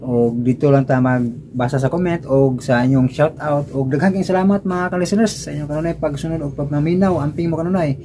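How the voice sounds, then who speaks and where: A man's voice: a little high, brisk, warm tone. A man hosting casually, chatting to his listeners as a channel host. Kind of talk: casual channel outro with thanks. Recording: clear background noise and ambience.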